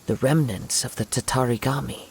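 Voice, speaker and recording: A man voicing a calm, thoughtful young wandering swordsman in a video game, soft-spoken, with measured and formal phrasing. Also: mid-range voice, creaky, slight background noise, lightly acted. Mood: calm, alert.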